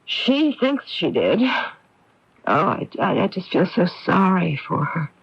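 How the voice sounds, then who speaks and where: An actress playing a middle-aged sister in a radio mystery, sweet on the surface but defensive, with an emphatic, theatrical delivery on a radio broadcast recording. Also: female voice, fairly low pitch, sing-song, takes it slowly, creaky, slightly rough, dull audio, heavily acted. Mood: sweet, casual. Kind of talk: old-time radio mystery drama acting.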